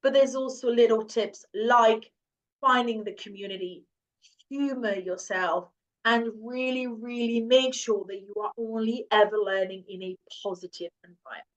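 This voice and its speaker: A woman's voice: a little high, normal speed, distant mic, rough recording. A woman hosting a language learning class, speaking encouragingly and handing over to her co-host. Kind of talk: teaching talk to learners.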